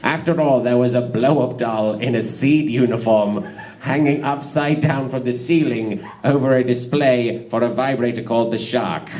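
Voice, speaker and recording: A man's voice: mid-range voice, moderate pace, darkish tone. A man narrating comic fan fiction in a theatrical, giggly way, prone to breaking up and tossing in asides.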